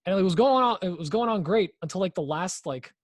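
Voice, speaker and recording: A man co-hosting a casual podcast, talking through his workshop projects in a relaxed way, thinking aloud with fillers. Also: sits high, a little fast, no room sound, studio quality. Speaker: podcast co-host. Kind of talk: casual chat.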